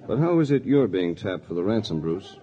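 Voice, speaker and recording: Deep, wry radio adventurer. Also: male voice, big pitch swings, a little fast, warm tone. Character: wry, worldly male adventurer, former lawyer, narrating. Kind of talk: 1940s radio acting. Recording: muffled old radio, crowd noise behind.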